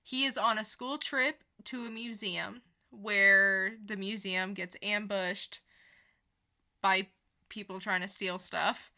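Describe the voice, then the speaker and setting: A woman's voice: medium pitch, fairly even intonation, slow, bright, forceful tone. A woman co-hosting a podcast, chatting casually through a comic book review and retelling it with casual swearing. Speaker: podcast co-host.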